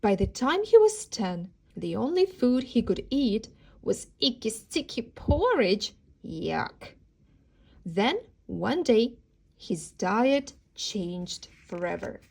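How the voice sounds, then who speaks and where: Slow-paced woman reading a children's storybook. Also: on the high side, gravelly, slight background noise, poor audio. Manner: expressive, dramatic emphasis on key words. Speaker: teacher reading aloud.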